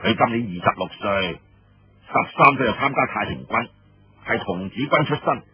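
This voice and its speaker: A man's voice: mid-range voice, lively intonation, moderate pace, slightly rough. A man voicing a nervous, deferential surrendered officer in an audiobook story, reporting to a commander with hesitant, obsequious care. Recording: muffled lo-fi roomy radio-like recording.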